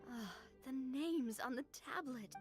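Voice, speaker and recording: A woman's voice: high voice, measured pace, over music. A woman playing an eager, curious young female adventurer in a video game, exclamatory and inquisitive.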